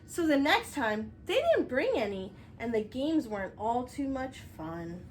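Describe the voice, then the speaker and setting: A woman reading a picture book aloud as a children's teacher, expressive and instructive, explaining things directly to young viewers. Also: high-pitched, unhurried, a little hiss or hum. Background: music.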